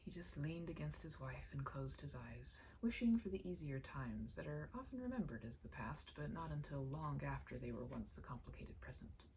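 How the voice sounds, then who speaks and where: Low, creaky woman narrating a story. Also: big pitch swings, moderate pace, few pauses, a little mellow, a little hiss or hum, rough recording. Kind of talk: dramatic scripted narration.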